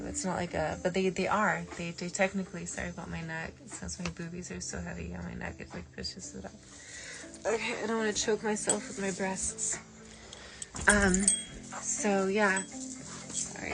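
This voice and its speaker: Woman, spiritual medium, deep voice, monotone, rambling late-night chatter, lots of fillers, soft music behind. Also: normal speed, gravelly, rough recording. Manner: meandering, digressive, frequent fillers and restarts. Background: faint early nineties music.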